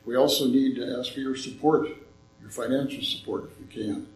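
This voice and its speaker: An older man speaking in a church, making an earnest, folksy appeal with a slightly hoarse voice. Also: mid-range voice, fairly even intonation, moderate pace, echoey room, a little hiss or hum, rough recording. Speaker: visiting ministry speaker. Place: church sanctuary.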